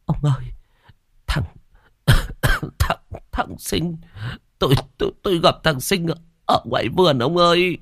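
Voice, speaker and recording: A man's voice: high voice, sing-song, normal speed, pauses often, no room sound, clean studio sound, pitched above the performer's own voice, heavily acted. A man voicing a terrified older village woman who stammers and insists on what she saw.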